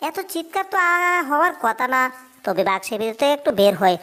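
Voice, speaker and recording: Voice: medium pitch, very animated, quick pace, fairly bright tone. A performer voicing a small, scheming, whiny cartoon mouse in a comedy dub, exclaiming and narrating his plans. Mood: frightened. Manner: whining, exclamatory, self-narrating.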